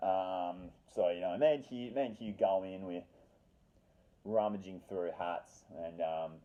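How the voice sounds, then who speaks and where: Low voiced guy spinning a loose sweary story, repeats stuff for drama, super casual. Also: dull audio. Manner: rambling, sweary, slangy.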